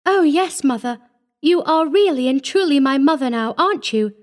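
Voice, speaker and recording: A woman's voice: high voice, lively intonation, normal speed, darkish tone, voice pushed up. A woman voicing a trusting, earnest little girl devoted to her mother in a storybook reading. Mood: happy, confiding.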